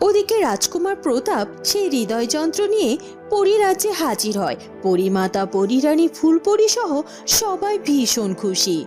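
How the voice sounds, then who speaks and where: উঁচু, প্রাণবন্ত গলার নারী গল্পকথক. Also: female voice, sits high, very animated, normal speed, darkish tone, faint music behind. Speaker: narrator. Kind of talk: animated storybook narration for a cartoon.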